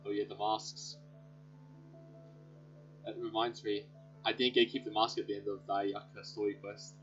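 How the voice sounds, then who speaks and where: A man's voice: on the low side, level tone, normal speed, a bit bright. A man giving casual, chatty, offhand let's play commentary as he reacts to a game. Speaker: gaming video host. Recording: dull lo-fi mic, game music behind.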